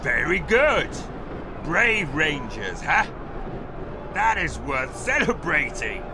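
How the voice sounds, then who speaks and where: An actor playing a cruel, mocking warlord who rules a fighting pit in a video game, commanding and taunting. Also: male voice, fairly high pitch, sing-song, slow pace, noisy background, exaggerated voice. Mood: scornful. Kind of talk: dramatic video game acting.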